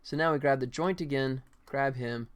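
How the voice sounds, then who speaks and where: A man's voice: mid-range voice, moderate pace, smooth. A man chatting casually on a livestream as he works, rambling and thinking aloud as he explains each step. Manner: rambling, thinking aloud, half-muttering to himself while working. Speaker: game developer streaming his work. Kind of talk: casual livestream tutorial and chat while working. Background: music behind the voice.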